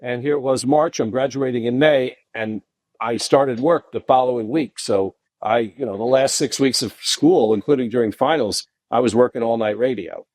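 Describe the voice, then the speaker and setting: A middle-aged man, a podcast guest telling long animated anecdotes full of restarts. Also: moderate pace, dry recording, rough recording. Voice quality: deep voice. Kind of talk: casual storytelling interview.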